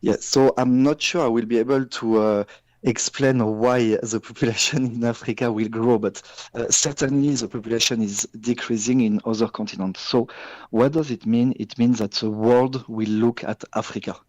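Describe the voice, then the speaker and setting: A man from France with a French accent, answering a radio business interview in measured English with frequent hesitations and restarts. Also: medium pitch, fairly even intonation, a little mellow, no echo, rough recording. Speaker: business guest.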